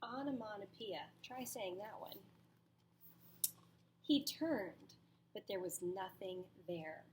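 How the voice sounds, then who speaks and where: A woman's voice: medium pitch, expressive, a little hiss or hum. A woman teacher reading a storybook aloud to children expressively, with dramatic emphasis and asides to her listeners. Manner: dramatic pauses, emphatic, questions to young listeners.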